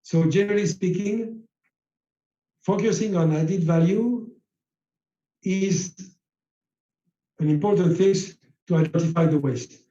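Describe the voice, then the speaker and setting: French-native male lecturer, mellow tone, halting delivery with fillers, echoey room. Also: high voice, dull audio. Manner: slow, halting, many uh fillers. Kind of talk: slide-based lecture.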